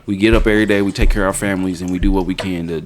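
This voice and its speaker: A man's voice: steady tone, very fast. A man, chatting casually on a podcast and telling stories with laughs. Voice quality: deep voice. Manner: storytelling with laughs, Southern US slang. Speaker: podcast co-host.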